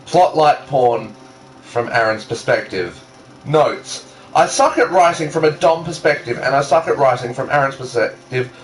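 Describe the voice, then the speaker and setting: A man's voice: medium pitch, poor audio. A man with a mid-range voice reading fan fiction aloud in a casual, unpolished way. He swears freely and drops in dry, teasing remarks like a co-host among friends. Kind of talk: casual banter and amateur read-aloud.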